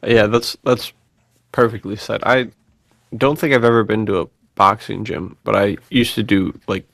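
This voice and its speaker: A man with a fairly low voice and plenty of vocal fry chats as a podcast co-host. He talks in a loose, slangy, agreeable way, and the recording is close and dry. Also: steady tone, normal speed, fairly bright tone, dry recording. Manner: slangy and agreeable, calls his co-host dude. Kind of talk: casual conversational podcast chat.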